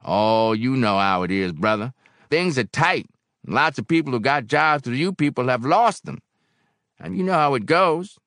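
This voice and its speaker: A man voicing a big barkeeper in an audiobook, folksy and unhurried, genial yet gloomy and firm. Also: mid-range voice, expressive, a bit bright, no room sound, studio quality, pitched above the performer's own voice. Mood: confiding. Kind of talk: audiobook character acting.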